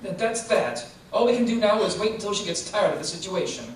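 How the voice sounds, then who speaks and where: Guy acting flustered married man in old radio play, stammers, highish voice, far from mic. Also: big pitch swings. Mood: apologetic. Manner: stammering, halting. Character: nervous, hesitant married man carrying on an affair. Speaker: stage actor in an old-time radio play. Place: theater stage, live performance. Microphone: stage stand mics, distant camera. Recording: echoey stage from far off, faint noise.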